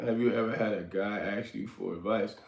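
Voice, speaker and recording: A man's voice: on the low side, steady tone, a little fast, creaky. A man in his forties from Liberia, livestreaming from his apartment in a loose, rambling way, answering chat and still getting over a sickness. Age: 40s. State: recovering from illness, coughing. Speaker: livestream host. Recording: echoey room.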